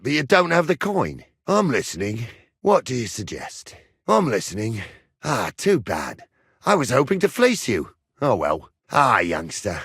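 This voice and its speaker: A gruff medieval captain from a video game, speaks in blunt, rustic, teasing phrases. His medium-pitched voice swings expressively up and down with cocky swagger. The sound is close and dry. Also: fairly bright tone, dry recording, full character voice. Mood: smug, teasing. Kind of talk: video game character dialogue lines.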